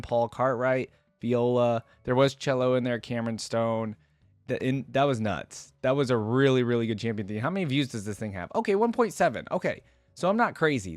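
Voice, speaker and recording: A man's voice: medium pitch, dry recording. A man giving casual reaction commentary as host, talking in an enthusiastic, informal, slangy way.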